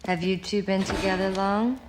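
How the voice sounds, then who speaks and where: A woman's voice: medium pitch, fairly even intonation, normal speed, background noise, close to an everyday voice. An actress playing a blunt young woman medium in a game cutscene, curt and commanding. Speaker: game character.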